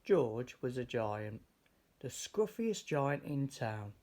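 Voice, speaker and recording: Slow-reading man telling a children's story. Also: mid-range voice. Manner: deliberate, expressive reading. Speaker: storybook reader. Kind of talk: children's picture book read aloud.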